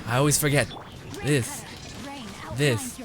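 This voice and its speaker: A man's voice: medium pitch, big pitch swings, slow. Rambling young man, casual game streamer. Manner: rambling, self-interrupting, swears casually. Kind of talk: casual gameplay commentary. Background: game music.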